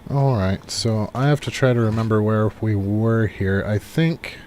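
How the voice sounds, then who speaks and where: A man giving relaxed, rambling live commentary on a game he is playing, thinking aloud as he goes, while recovering from a cold. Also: fairly low pitch, measured pace, slight background noise. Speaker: game streamer. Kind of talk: casual live gameplay commentary.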